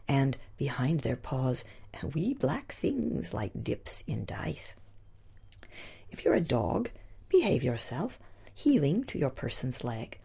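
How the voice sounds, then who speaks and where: Husky, low-voiced woman reciting poetry. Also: a little mellow. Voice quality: husky, breathy, creaky. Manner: measured, deliberate phrasing in short lines. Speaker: poet. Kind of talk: poetry recitation, rhymed verse.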